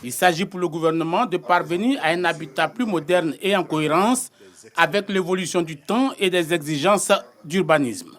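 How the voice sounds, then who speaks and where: A man's voice: on the high side, moderate pace, fairly bright tone. A man narrating a scripted television news report as a reporter.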